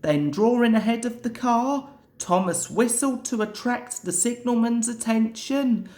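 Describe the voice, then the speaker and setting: Slow-paced woman reading a children's story. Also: a little high, dark tone. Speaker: storybook reader. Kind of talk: scripted children's story narration.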